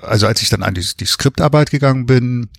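A man's voice: medium pitch, fast talker, gravelly, no echo, studio quality. A man who works as an author, talking conversationally and explaining as an interview guest, often adding tag questions.

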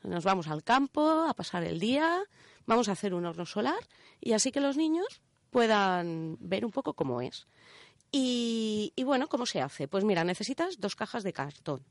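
A woman's voice: mid-range voice, very animated, moderate pace, no echo, clean studio sound. A woman with an accent from Spain explains things informally on radio, step by step, often checking that listeners follow. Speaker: radio show contributor presenting a section. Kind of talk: casual explanatory radio chat.

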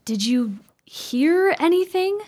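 A woman's voice: extremely high pitch, big pitch swings, takes it slowly, darkish tone, exaggerated voice. A woman playing a composed married sister in an old-fashioned gothic radio drama, speaking with formal, genteel diction. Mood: anxious, probing.

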